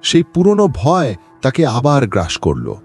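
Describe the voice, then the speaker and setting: A man's voice: mid-range voice, wildly swooping pitch, a little slow, darkish tone, husky, faint music behind. A man narrating a horror cartoon story in a dramatic, storytelling way. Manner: dramatic storytelling with an audience question.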